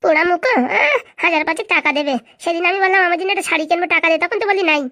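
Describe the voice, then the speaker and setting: A woman voicing a quarrelsome, sharp-tongued cartoon wife, scolding indignantly in comedy dialogue. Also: very high-pitched, very fast.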